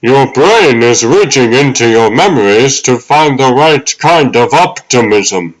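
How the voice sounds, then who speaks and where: Casting: male actor as wise old manservant, mid-pitched, unhurried, formal, fatherly. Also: lively intonation, rough recording. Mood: calm counsel. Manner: formal, measured, kindly. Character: wise, gentle ghostly old butler, fatherly. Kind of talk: comic dub acting.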